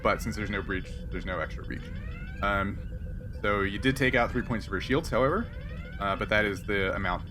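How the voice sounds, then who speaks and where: A man's voice: on the low side, expressive, moderate pace, background noise. A man acting as game master, casually narrating and working out rules aloud in a hesitant way.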